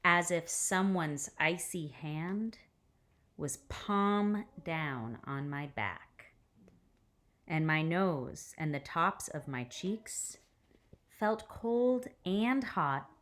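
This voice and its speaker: A woman teacher reading a picture book aloud to children in an expressive way, with deliberate pauses and hushed phrase endings. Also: deep voice.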